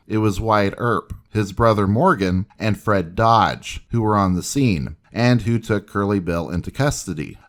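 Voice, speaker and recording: A man hosting a history video, reading scripted documentary narration. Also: lowish voice.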